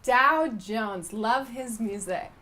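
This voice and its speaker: Woman, comedy news co-host, high pitch, playful banter. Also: takes it slowly, pushed and bright. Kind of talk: comedic mock news reading.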